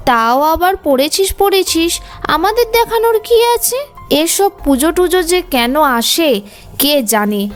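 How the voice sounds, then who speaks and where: A woman's voice: very high-pitched, big pitch swings, measured pace, faint music behind, heavily acted. A woman playing a scornful, complaining village gossip in a cartoon. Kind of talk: Bengali cartoon voice acting.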